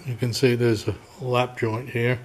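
Slow-talking man narrating a DIY job. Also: mid-range voice, level tone. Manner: unhurried, rambling, lots of uhs and throat clearing. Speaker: DIY home repair vlogger. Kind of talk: casual how-to narration. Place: garage workshop and attic crawl space, indoors.

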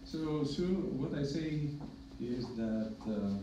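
A middle-aged man from Greece with a Greek accent, speaking on a conference panel as a hepatologist, measured and emphatic with dry humor. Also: mid-range voice, expressive, recorded from a distance. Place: conference room with projector. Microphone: podium mic, filmed from far in the room. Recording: echoey room from afar, dull and noisy.

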